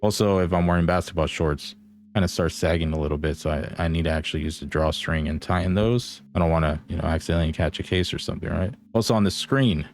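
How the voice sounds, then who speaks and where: A man's voice: low voice, fast talker, darkish tone, a little hiss or hum. A man giving a casual gadget review, talking straight to viewers in an informal, slangy, playfully teasing way. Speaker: product reviewer.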